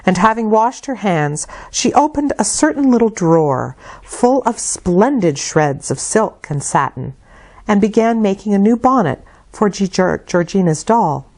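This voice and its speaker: A woman narrating literary fiction as an audiobook, with measured, expressive delivery and deliberate phrasing. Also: medium pitch. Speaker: audiobook reader.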